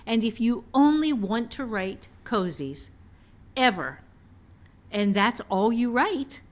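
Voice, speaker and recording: A woman's voice: fairly high pitch, animated, takes it slowly. A woman author and teacher explaining casually on a podcast, repeating phrases for emphasis.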